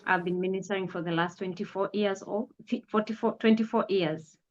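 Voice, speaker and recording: A woman in her forties, a pastor, speaking earnestly and gratefully in an interview, pausing often on "uh". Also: medium pitch, steady tone. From Kenya. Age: 40s. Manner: earnest, grateful, measured with frequent "uh" pauses. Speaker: pastor and guest. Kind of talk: testimony interview. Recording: dull lo-fi audio.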